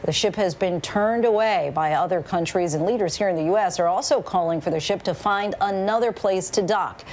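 A woman anchoring a news broadcast from a studio, with a smooth, measured delivery. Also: medium pitch, a little mellow, slight background noise.

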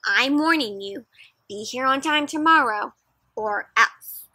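A stern female schoolteacher from a children's storybook, put on by a woman reading aloud. She scolds in an exasperated, emphatic way, with a bright, high voice that has a slightly husky edge. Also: lively intonation, slow pace, dry recording, heavily acted. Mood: indignant.

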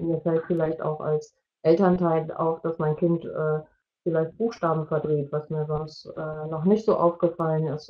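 A middle-aged woman giving an explanatory online presentation in a halting way, searching for words. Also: low voice. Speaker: media educator presenting at an online parents' evening. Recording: dull, lo-fi video-call audio.

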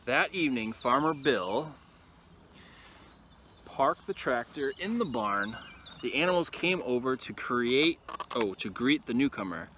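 A man reading a picture book aloud expressively to young listeners, with casual, quieter asides, recorded outdoors. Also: medium pitch, animated, a little slow. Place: outdoors in an open field. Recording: noisy outdoor recording with ambient sound.

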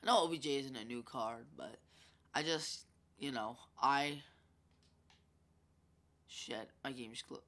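Bright congested young gaming guy. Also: medium pitch, unhurried, pauses often. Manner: chatty, rambling, filler-heavy. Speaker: gaming YouTuber. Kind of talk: casual gameplay commentary.

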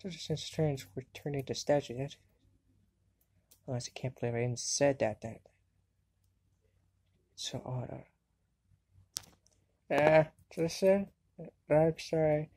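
A woman voicing an excitable, sarcastic anime girl in an amateur cartoon fandub. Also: sits low, slow, long pauses. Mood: subdued, musing.

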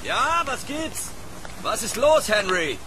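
A man's voice: extremely high pitch, sing-song, slow, bright, forceful tone, exaggerated voice. An actor playing a grumbling but friendly policeman on night patrol in a horror radio drama, with a weary, familiar manner. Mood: puzzled. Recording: noisy rain ambience behind voice.

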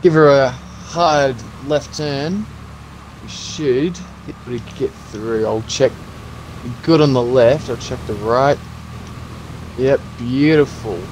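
A man playing a calm, practical zombie apocalypse survivor in an improvised game roleplay, talking in an encouraging, instructive way. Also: medium pitch, takes it slowly, a little hiss or hum, lightly acted, low-quality recording. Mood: guiding, encouraging.

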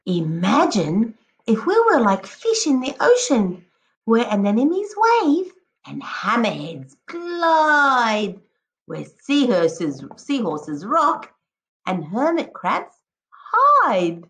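A woman teacher reading a picture book aloud to children, expressively, addressing them directly and encouragingly with drawn-out emphatic words. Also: fairly high pitch, huge pitch swings, a bit bright. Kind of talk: expressive children's read-aloud with prompting questions.

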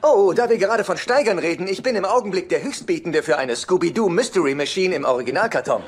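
A man's voice: high voice, expressive, fast. An actor playing a naive, earnest, socially awkward man in his forties in a German-dubbed sitcom. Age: 40s. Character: childish, awkward, lonely forty-year-old chiropractor brother. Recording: noisy TV soundtrack rip.

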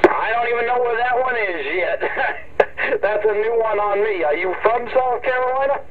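A man's voice: very high-pitched, moderate pace. A friendly, courteous man chatting casually as a CB radio operator. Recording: tinny radio sound, clear static.

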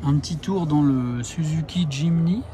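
A man's voice: fairly high pitch, measured pace, warm tone. A middle-aged man chatting casually and wryly to camera as a vlogger, holding it at arm's length outdoors. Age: middle-aged, about 50s. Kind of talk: casual vlog chat to camera. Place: outdoors in a busy mountain village car park and show ground. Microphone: handheld camera at arm's length. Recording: noisy outdoor recording with vehicle sounds.

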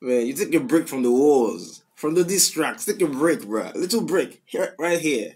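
A man's voice: on the high side, expressive, normal speed, a little mellow. A man in his twenties with a Nigerian accent, giving casual commentary on songs and repeating lyrics in slangy talk. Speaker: reaction video host. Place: small room at home, indoors. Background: music playing.

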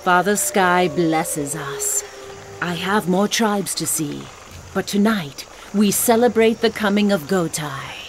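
A woman's voice: fairly low pitch, slow pace, a little hiss or hum. An actress playing a wise, devout orc shaman in a video game, solemn and clipped in her phrasing. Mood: reverent. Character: wise, devout female orc shaman, peace-minded and cunning. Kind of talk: video game cutscene acting. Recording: game music behind the voice.

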